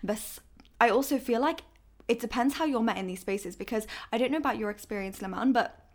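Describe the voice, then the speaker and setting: A woman's voice: a little high, a little fast. A woman hosting a podcast in a conversational interview style. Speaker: podcast host.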